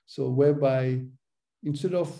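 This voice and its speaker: Middle-aged guy hosting a podcast, gravelly and really dark voice, earnest, stutters on purpose sometimes. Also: medium pitch, measured pace. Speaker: podcast host. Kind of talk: conversational interview.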